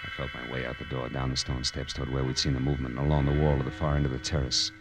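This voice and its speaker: An actor playing a tough, wry private detective in a 1940s noir radio drama, with slangy, clipped delivery. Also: male voice, rumbling low, level tone, very fast, over music. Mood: terse, narrating. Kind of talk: 1940s radio noir acting and first-person narration. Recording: vintage radio recording with background noise.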